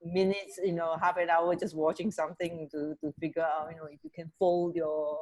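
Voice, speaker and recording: A woman's voice: lowish voice, level tone, a little fast, a crowd in the background. A middle-aged woman co-hosting a radio show in casual, hesitant chat over a video call from home. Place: home living room with stairs, on a video call. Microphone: earphone mic on a video call.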